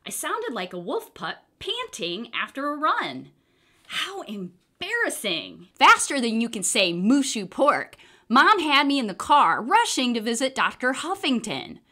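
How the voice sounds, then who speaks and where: A woman reading a children's book aloud in an expressive, theatrical storytelling style. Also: high voice, a little slow. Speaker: storybook reader.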